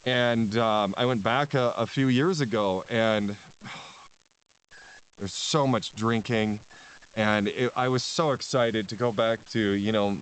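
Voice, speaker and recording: A man's voice: fairly low pitch, steady tone, slow pace, clean tone, poor audio. A man, a spiritual teacher talking as a podcast guest in a rambling way, with many restarts and frequent laughs. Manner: rambling, repeats words, laughs often. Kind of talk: casual podcast conversation.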